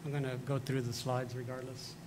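A man at a school board meeting calmly explaining a streaming delay and moving through slides. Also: brisk. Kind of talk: meeting logistics talk. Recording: meeting room mic with clear rumble.

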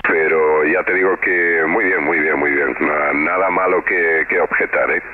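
Spanish accent guy doing ham radio chat, really low voice, narrow tinny recording. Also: steady tone, a little slow. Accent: Spain Spanish. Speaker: amateur radio operator. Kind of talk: casual radio chat, giving audio reports. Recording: narrow tinny band, clear background noise.

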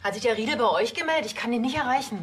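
An actress playing a casual, teasing police station colleague in a TV crime comedy. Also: female voice, on the high side, animated, very fast, slight background noise, lightly acted. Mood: wry.

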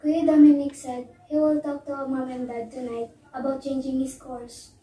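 Young woman playing high-voiced supportive little sister. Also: flat delivery, normal speed, warm tone, natural voice. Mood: concerned. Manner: earnest, encouraging. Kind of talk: amateur one-act melodrama. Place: small living room, indoors. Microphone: far camera. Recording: echoey living room, distant mic, faint noise.

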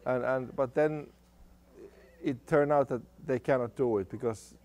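A man's voice: mid-range voice, fairly even intonation, darkish tone, dull audio. Finnish-accented middle-aged man, terse plain English, interview guest. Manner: short halting answers in simple English. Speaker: guest musician. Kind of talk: casual interview. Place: outdoor patio at night. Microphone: studio mic on stand.

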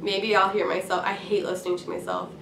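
A young woman in her twenties with a voice hoarse from a cold, chatting casually and rambling to camera with many ums. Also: mid-range voice, level tone, a little fast. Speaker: craft vlogger. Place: small room indoors at home. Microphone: camera at a distance. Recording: roomy, from a distance, faint hiss.

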